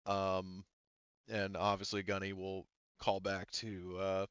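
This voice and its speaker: A man working as game master, narrating a tabletop game in a casual, halting, conversational way. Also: low-pitched, monotone, fairly bright tone, smooth, no room sound. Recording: slightly dull close dry mic.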